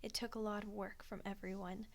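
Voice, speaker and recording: A soft-spoken woman, an ASMR creator and voice actor, giving informal, appreciative thank-you credits. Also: mid-range voice, little pitch movement, a little fast.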